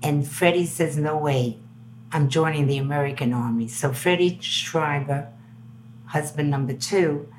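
Older New York woman, low voice. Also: measured pace, a little hiss or hum, rough recording. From Belgium. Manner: animated storyteller, acts out quoted dialogue. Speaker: guest. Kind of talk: storytelling interview.

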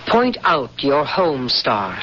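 A woman's voice: very deep voice, big pitch swings, slow pace, fairly bright tone, heavily acted. An actress in a radio drama playing a stern young woman interrogator with a formal, clipped manner. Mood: stern, accusing. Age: 30s. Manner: severe, formal, clipped questioning. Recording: muffled, slightly noisy old radio recording.

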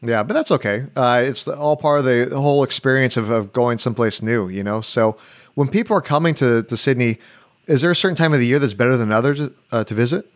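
A man hosting a travel podcast, chatting in a relaxed, halting way with many restarts. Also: mid-range voice. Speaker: podcast host. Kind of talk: casual interview chat.

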